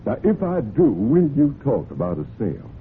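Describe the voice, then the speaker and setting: A man's voice: mid-range voice, huge pitch swings, normal speed. An actor playing a genial, pushy horseman, folksy and insistent, in an old-time radio drama. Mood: insistent, confident. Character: persistent, cocksure horseman, genial but pushy buyer. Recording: muffled, noisy old radio broadcast.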